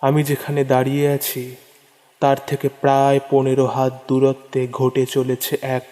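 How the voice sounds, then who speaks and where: A man's voice: medium pitch, completely flat delivery, moderate pace, mellow. A man narrating a first-person horror story in a suspenseful, theatrical way, repeating phrases for dramatic effect. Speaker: narrator of horror audio stories. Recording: long reverb over prominent music. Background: music.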